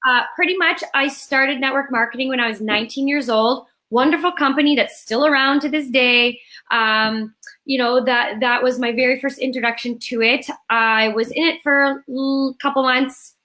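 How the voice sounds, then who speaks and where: Cheerful thirties woman from Canada on a video call, high bright voice, slightly hoarse. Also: moderate pace. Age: 30s. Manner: energetic, cheerful storytelling. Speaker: guest. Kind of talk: live video call interview.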